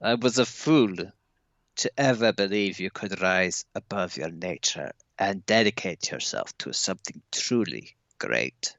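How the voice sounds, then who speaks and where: Male actor as cold Soviet scientist, melodramatic, forceful, dry close sound, horror audio drama. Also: deep voice, expressive, measured pace, creaky, no echo, muffled recording, heavily acted. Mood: bitter, menacing. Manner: stern, melodramatic.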